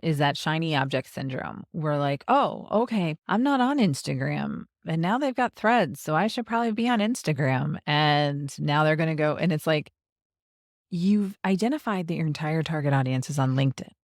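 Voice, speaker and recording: A woman's voice: sits low, lively intonation, normal speed, no echo, studio quality. A woman hosting a podcast, chatting upbeat and conversational with her guest. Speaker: podcast host. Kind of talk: casual interview chat.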